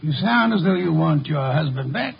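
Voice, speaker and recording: An actor playing a shrewd, imperious, eccentric detective in a 1950s radio drama, speaking in a deliberate, formal, probing way. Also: male voice, a little high, expressive, vocal fry, breathy edge, full character voice. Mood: shrewd, pressing. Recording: dull, hissy 1950 radio recording.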